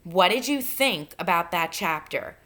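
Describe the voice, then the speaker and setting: A woman's voice: lowish voice, expressive, unhurried, a bit bright. A woman reading a novel aloud as a teacher and explaining it directly to her students.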